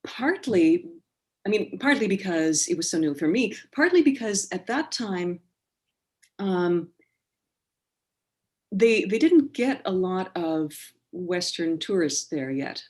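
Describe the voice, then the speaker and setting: A Canadian woman poet talking over a video call, measured and thoughtful, with many pauses and restarts. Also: on the low side, lively intonation, a little mellow. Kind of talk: online book launch conversation and poetry reading.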